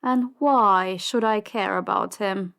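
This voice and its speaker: A woman playing a cold, ruthless crime boss in an audio drama, commanding and dismissive in a measured way. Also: mid-range voice, creaky, fairly bright tone, clear, resonant tone. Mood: cool, probing. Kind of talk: audio drama roleplay acting.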